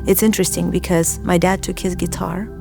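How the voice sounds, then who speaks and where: A woman who grew up in Azerbaijan and Russia gives a personal testimony. Her voice sits fairly low with noticeable vocal fry, and she speaks in a reflective, deliberate way over soft background music. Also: steady tone. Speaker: church member giving testimony.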